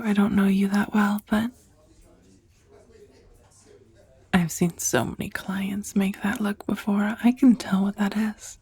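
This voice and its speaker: Breathy, half-whispering woman acting a dominatrix on a date. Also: mid-range voice, dark tone, heavily acted. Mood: teasing, flirty. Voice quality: breathy, often near a whisper. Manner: hesitant, confiding, teasing, with sighs. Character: professional dominatrix on a blind date, sheepish yet teasing. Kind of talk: soft-spoken ASMR roleplay. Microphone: close, dry ASMR microphone.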